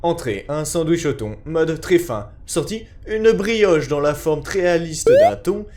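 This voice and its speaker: A man's voice: a little high, huge pitch swings, a little slow, darkish tone. A man giving a scripted narration in a formal, clinical report style.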